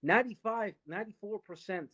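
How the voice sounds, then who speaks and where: A woman's voice: medium pitch, brisk, fairly bright tone, dull audio. Woman from Latin America, emphatic and lecturing, faint line noise. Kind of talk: impassioned live-stream argument.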